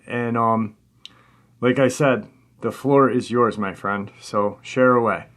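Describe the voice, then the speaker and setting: A man's voice: mid-range voice, fairly even intonation, takes it slowly, fairly bright tone. A man speaking as the host of an interview show, introducing things and addressing listeners. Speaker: host of a paranormal podcast.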